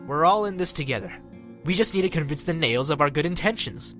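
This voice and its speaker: A man's voice: a little high, animated, brisk, bright, forceful tone, faint music behind. A man playing a skeptical, exasperated robot commander in an audio drama, sardonic and pragmatic.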